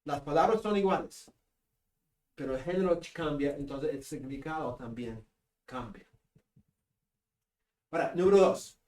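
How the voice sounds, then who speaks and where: A man with a northern Peruvian Spanish accent giving a seminary class lecture, joking with students and pausing on fillers. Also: a little high, animated, darkish tone. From Peru. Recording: echoey classroom from a distance.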